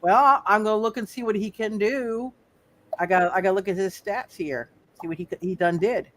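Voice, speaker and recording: High-pitched halting male game master. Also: fairly bright tone. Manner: halting, repeats phrases as he goes. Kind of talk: casual live tabletop roleplay game.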